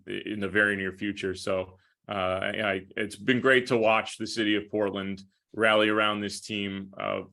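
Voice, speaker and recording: An American man answering interview questions conversationally as a guest broadcaster, with measured, hesitant phrasing. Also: on the low side, sharp tone. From Minnesota, United States. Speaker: podcast guest, hockey play-by-play broadcaster.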